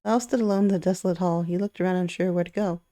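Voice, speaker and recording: A woman reading a visual novel aloud in an expressive way, with chatty asides of her own. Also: on the low side, very fast, no room sound, studio quality. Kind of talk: playthrough narration with casual commentary.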